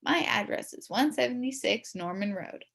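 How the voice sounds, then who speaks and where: A woman voicing an excitable, friendly school staffer from a storybook, gushing and theatrical. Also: a little high, normal speed, gravelly, pitched above the performer's own voice, heavily acted. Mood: inviting.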